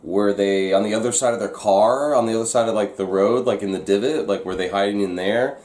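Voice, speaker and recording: A man's voice: lowish voice, brisk, a little mellow. A man hosting a vlog, telling a story to viewers in a casual, conversational way. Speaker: vlog host. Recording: echoey, roomy sound.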